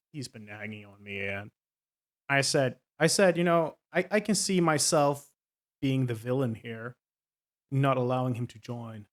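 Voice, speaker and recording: A man in his thirties with a Swedish accent, explaining himself to viewers in a casual, hesitant way, full of ums and restarts. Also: medium pitch. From Sweden. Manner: hesitant, many ums and uhs, self-correcting. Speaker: game master.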